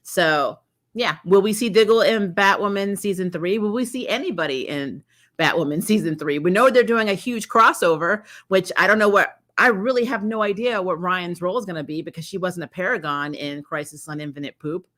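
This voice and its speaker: A woman's voice: fairly low pitch, a little fast, fairly bright tone. A woman hosting a fan review, giving casual, blunt, exasperated commentary with some mild swearing. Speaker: host of a fan review channel. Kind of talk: casual opinionated trailer commentary.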